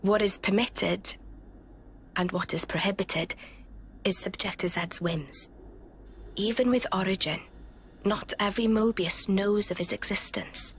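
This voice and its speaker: An actress playing a calm queen in a video game, speaking in a measured, solemn and formal way. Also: medium pitch, a little hiss or hum, close to an everyday voice. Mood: grave. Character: calm regal queen, adult woman.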